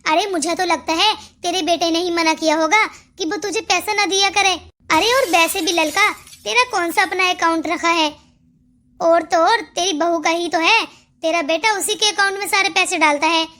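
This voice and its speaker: Casting: woman as meddling older gossip for an animated family tale, insinuating, extremely high pitched and bright. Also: moderate pace. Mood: gossipy, stirring. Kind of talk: Hindi cartoon moral-story acting.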